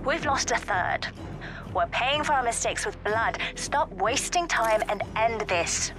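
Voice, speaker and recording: A woman's voice: on the high side, animated, a little slow. An actress playing a stern, exasperated woman commander giving terse orders to agents in a video game. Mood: commanding. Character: stern female commander directing agents over radio, exasperated. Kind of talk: video game mission dialogue. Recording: loud game music behind.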